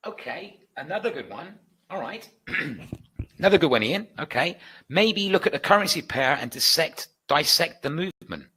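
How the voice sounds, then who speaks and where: A man's voice: fairly high pitch, lively intonation, moderate pace, pushed and bright, husky, poor audio. A British man hosting a live trading webinar, chatty and upbeat as he answers viewers' requests. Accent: British English. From United Kingdom.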